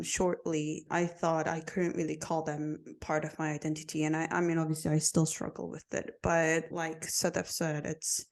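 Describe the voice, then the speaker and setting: A young woman from Korea, a native Korean speaker, chatting slowly and thoughtfully as a podcast co-host and laughing at her own slips. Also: fairly low pitch, creaky. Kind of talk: casual chat.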